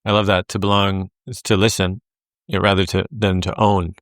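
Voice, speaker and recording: A man's voice: low voice, flat delivery, moderate pace, fairly bright tone, dry recording, studio quality. A man hosting a podcast interview, affirming his guest and asking long, rambling questions full of fillers. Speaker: podcast host. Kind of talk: interview conversation.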